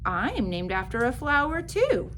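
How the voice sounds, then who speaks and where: A woman voicing a poised, kindly music teacher in a storybook, composed and deliberate. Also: on the high side, sing-song, a bit bright, slight background noise. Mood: calm.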